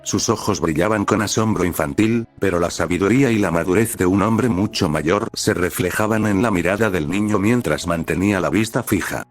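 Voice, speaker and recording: A man's voice: deep voice, moderate pace, few pauses. A man reading out scripted fan fiction as an audiobook-style narrator. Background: background music.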